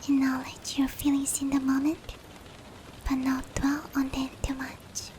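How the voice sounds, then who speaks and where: A woman voicing a gentle, caring female demon in an intimate roleplay ASMR, whispering softly and breathily to comfort a human. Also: high-pitched. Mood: soothing, reassuring. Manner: slow, tender, reassuring. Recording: steady rain noise behind. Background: rain.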